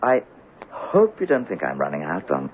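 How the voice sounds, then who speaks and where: A hesitant, polite writer. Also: male voice, extremely low pitch, wildly swooping pitch, normal speed, phone-quality sound, exaggerated voice. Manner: hesitant, stammering and apologetic. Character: soft-spoken male writer, hesitant and polite. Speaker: Robin Graves, a writer. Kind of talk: 1970s radio drama acting. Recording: old radio broadcast, phone-thin with hiss.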